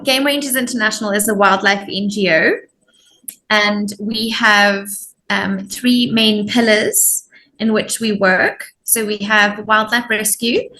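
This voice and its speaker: A woman's voice: mid-range voice, pushed and bright, slight background noise, low-quality recording. A woman from Zimbabwe, explaining her work as a volunteer program coordinator in an interview. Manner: friendly, informative, with many ums.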